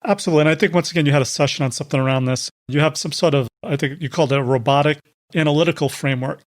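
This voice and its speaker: A man's voice: a little high, very fast. A man hosting a podcast, putting questions to his guest in a conversational way. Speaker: podcast host, interviewer.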